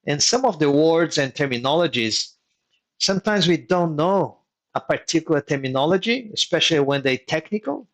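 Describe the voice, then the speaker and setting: A man whose first language is Portuguese gives advice to engineers in a live online session. His voice sits a little higher than most men's. He talks in a methodical, teaching way and comes back to his key points so they stick. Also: expressive, moderate pace, a bit bright. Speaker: engineering migration mentor hosting a workshop.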